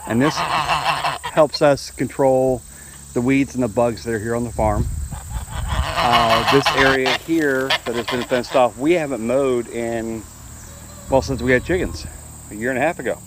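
Relaxed man narrating outdoors. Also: mid-range voice, measured pace, long pauses, heavy background noise. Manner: relaxed, explaining while filming. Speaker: homesteader showing his farm. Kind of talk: casual walk-through narration. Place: farmyard outdoors.

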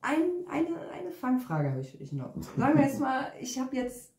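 A woman's voice: sits high, very animated, moderate pace, warm tone. A young woman interviewing her father at a living-room table, asking playful, teasing questions. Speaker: interviewer and daughter. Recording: echoey room.

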